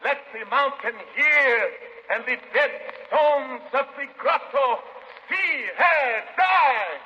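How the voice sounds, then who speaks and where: A man playing an ageless, menacing voodoo high priest, pompous and incantatory in 1930s radio drama style. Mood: ritual menace. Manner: incantatory, drawn-out, pompous, speaking in third person. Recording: muffled 1930s radio broadcast, long reverb.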